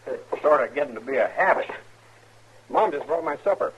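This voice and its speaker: An actor playing a mild, plain-spoken young frontier bank clerk with an American accent, in a 1950s radio drama. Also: male voice, mid-range voice, animated, normal speed, fairly bright tone, slightly rough. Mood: amiable, casual. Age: young adult. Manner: plain-spoken, amiable, hesitant. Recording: dull old radio recording.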